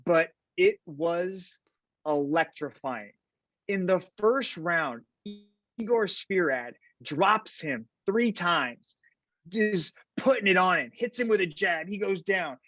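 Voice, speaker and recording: A man, a fight commentator, chatting in an interview and telling lively stories, tagging his sentences with "right?". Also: high voice, slow pace, no room sound. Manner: animated storyteller, fillers like "right?". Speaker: podcast guest, fight commentator. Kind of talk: casual interview chat. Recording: dull lo-fi sound.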